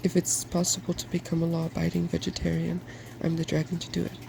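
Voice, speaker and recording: A man voicing a solemn, hopeful, meek dragon in a soft-spoken ASMR storybook reading, earnest and polite. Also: a little high, completely flat delivery, fast talker, vocal fry, background noise.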